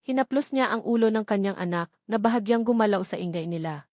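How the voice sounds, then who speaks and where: A woman's voice: mid-range voice, a little fast, a little mellow, no room sound, studio quality. A woman with a Filipino accent reading a scripted romantic drama as an audiobook narrator.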